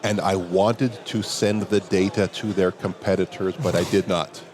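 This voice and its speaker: Man, big low voice, relaxed anecdotes, conference hallway. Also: moderate pace, a crowd in the background. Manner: relaxed anecdotal telling, quoting others in dialogue. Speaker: interview guest, security professional. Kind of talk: casual storytelling in a conference hallway chat. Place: outdoors at a conference venue.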